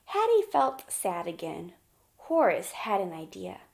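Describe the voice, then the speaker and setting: A woman's voice: medium pitch, huge pitch swings, a little slow, vocal fry, a little mellow. Woman teacher reading aloud to children. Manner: simple, explanatory, often asking the listener questions. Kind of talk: children's storybook read-aloud and lesson.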